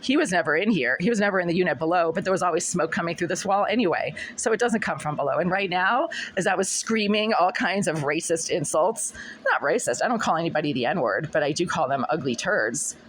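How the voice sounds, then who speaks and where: A middle-aged woman with a scratchy, husky voice, talking insistently and repetitively to a phone camera in her apartment. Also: lowish voice, expressive, brisk. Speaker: vlogger. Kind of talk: monologue to camera. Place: apartment room.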